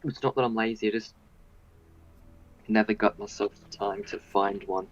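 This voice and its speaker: A quiet, soft-spoken man with an Australian accent, joining a game stream over a voice call without a proper mic. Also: fairly low pitch, fairly even intonation, moderate pace, soft background music. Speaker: guest on call. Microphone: computer call audio without a proper mic. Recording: dull lo-fi call audio.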